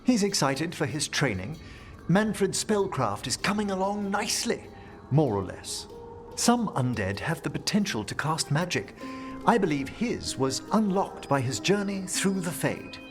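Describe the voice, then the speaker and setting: An actor playing a gentle, formal older necromancer and mentor in a video game. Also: male voice, fairly high pitch, very animated, a little slow. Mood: fond, proud. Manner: formal, precise, tender. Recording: music behind the voice.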